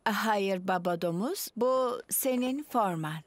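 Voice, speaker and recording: A woman voicing a gentle, apologetic pig mother in a children's cartoon, with a slightly hesitant manner. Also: medium pitch, takes it slowly, no room sound, close to an everyday voice.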